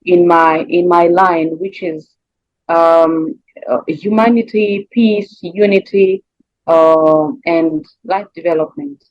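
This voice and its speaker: A woman's voice: fairly low pitch, fairly even intonation, slow pace, no echo, muffled recording. A woman from Rwanda with a Rwandan accent answers interview questions as a singer, searching for words and repeating phrases in a conversational way.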